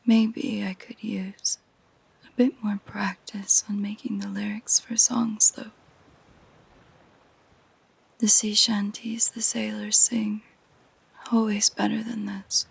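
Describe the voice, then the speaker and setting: A woman voicing a lonely, possessive female siren in a soft, breathy whisper, coaxing and intimate, for a roleplay audio drama. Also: medium pitch, flat delivery, heavily acted, rough recording. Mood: wistful, lonely. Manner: slow, gentle, intimate.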